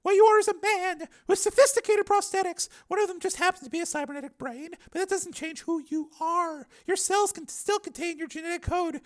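Man putting on a very high, anxious woman scientist. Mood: insistent. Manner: halting, pleading, explanatory. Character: defensive, anxious woman scientist, insistent but uneasy.

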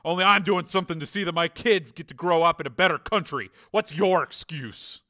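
A man voicing a gruff, bullying army sergeant in an audiobook, coarse and commanding. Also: fairly high pitch, brisk, sharp tone, pitched above the performer's own voice. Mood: contemptuous.

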